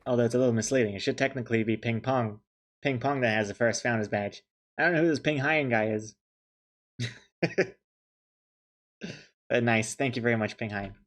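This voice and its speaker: A man's voice: mid-range voice, moderate pace, pauses often. A young man chatting casually on a stream, joking and thanking viewers as he goes. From New Zealand. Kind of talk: casual chat while drawing on stream.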